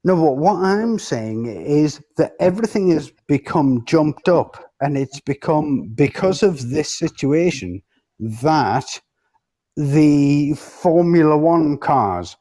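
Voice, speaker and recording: A man's voice: a little high, lively intonation, slow, dark tone. A man in a heated casual debate, speaking insistently, cutting in and swearing freely.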